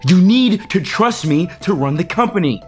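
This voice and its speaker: An actor playing a defensive, insecure company chief in an audio soap opera, curt and emphatic. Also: male voice, high voice, lively intonation, normal speed. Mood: exasperated. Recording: music bed under the dialogue.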